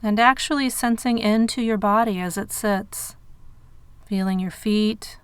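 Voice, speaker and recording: Female meditation narrator, medium register, very pure resonant tone, dry studio-like sound, measured delivery with space between phrases. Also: medium pitch, smooth, no room sound. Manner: measured, unhurried phrasing with pauses. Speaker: meditation guide.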